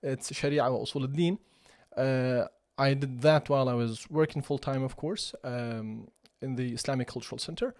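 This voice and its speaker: A man's voice: mid-range voice, fairly even intonation, moderate pace, hardly any pauses, a little mellow, no room sound, studio quality. A man in his forties from Iraqi Kurdistan with a Kurdish accent, a podcast guest who speaks thoughtfully and hesitates often. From Kurdistan region of Iraq. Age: 40s. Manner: thoughtful, with many fillers and restarts. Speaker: podcast guest, chief executive of a cultural centre. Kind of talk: conversational interview.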